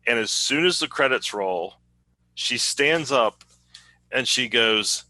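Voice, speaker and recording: A man's voice: medium pitch, expressive, slow pace, pushed and bright, dry recording, low-quality recording. A man chatting casually and telling anecdotes as a podcast co-host.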